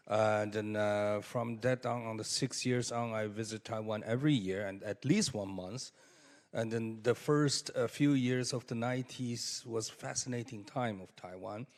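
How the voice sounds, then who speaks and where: A man from China giving halting remarks as a conference panelist, with many fillers and rising emphasis. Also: lowish voice. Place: conference hall. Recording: long hall reverb.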